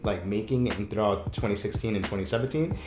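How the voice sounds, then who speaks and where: A man, a breakup coach, talking casually on a podcast, telling stories with lots of restarts and quick laughs. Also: lowish voice, reverberant, a little hiss or hum. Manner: animated storyteller, laughs often, rambling restarts.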